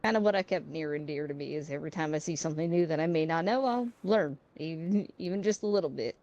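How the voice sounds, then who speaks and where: A woman's voice: low-pitched, quick pace, darkish tone, highs cut off. A woman chatting in character during a roleplay game, easygoing and chatty as she tells stories.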